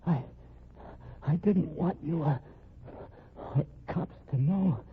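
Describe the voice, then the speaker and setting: An actor playing a gut-shot, desperate man in an old radio crime drama, out of breath and speaking in halting, pained fragments. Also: fairly high pitch. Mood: weak, confessing. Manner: halting, broken phrases. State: out of breath, in pain. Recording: muffled, faintly noisy vintage radio.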